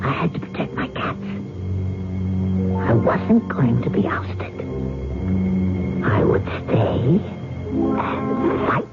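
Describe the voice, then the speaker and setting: A woman's voice: rumbling low, huge pitch swings, takes it slowly, vocal fry, long pauses, over loud music, exaggerated voice. Sly old woman, sweeping melodramatic delivery. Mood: resolute. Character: proud, sly, stubborn old woman devoted to her cats. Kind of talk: old-time radio drama acting with first-person narration. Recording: muffled, noisy vintage radio recording.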